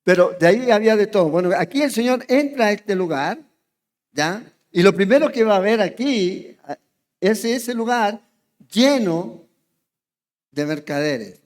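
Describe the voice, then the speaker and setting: A man's voice: sits high, expressive, measured pace, big-room sound. A man with a Chilean accent preaching an expository sermon, chatty, often checking in with his congregation. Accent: Chilean Spanish. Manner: conversational, checks in with the congregation, asks questions. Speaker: pastor. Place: church sanctuary.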